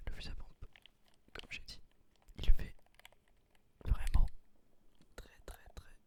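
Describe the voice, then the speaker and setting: A man whispering a gameplay commentary in ASMR style, explaining things in an unhurried, hesitant way. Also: darkish tone. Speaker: gaming video creator.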